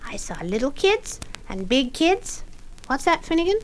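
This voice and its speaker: A woman's voice: sits high, big pitch swings, normal speed, a little hiss or hum. A woman voicing a cheerful, giggly small boy puppet who tells and sings a story for children. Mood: chatty. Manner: giggly, sing-song. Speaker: children's show character. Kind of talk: sung and told story for children. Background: children's music.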